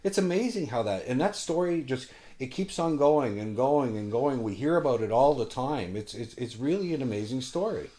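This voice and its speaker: A man hosts a casual interview in a medium-pitched voice. He is enthusiastic but halting, doubling back and repeating words as he talks. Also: animated, warm tone. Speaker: interview show host.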